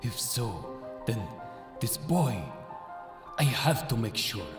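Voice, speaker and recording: A man's voice: medium pitch, big pitch swings, slow. A man playing a solemn, menacing mystical Egyptian avenger in an audio drama, speaking in a grave, portentous manner. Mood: astonished, intrigued. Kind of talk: dramatic audio manga acting. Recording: voice over prominent dramatic music.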